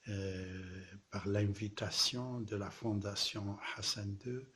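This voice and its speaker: A man from southern France, an artist presenting his exhibition in a slow, halting way, with pauses and repeated words. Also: lowish voice, a little hiss or hum. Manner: repeats poetic refrains. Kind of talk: casual spoken address to camera.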